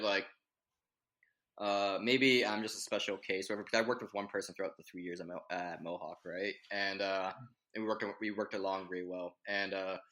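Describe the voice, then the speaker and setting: A reserved young man speaking in a monotone as a guest in a casual podcast chat. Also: fairly low pitch. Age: young adult.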